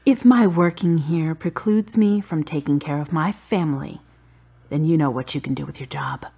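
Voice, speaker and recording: An actress playing a strong-willed, emotional grieving daughter in a radio drama, with a slightly husky voice. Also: female voice, low-pitched, normal speed, warm tone. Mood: indignant, hurt.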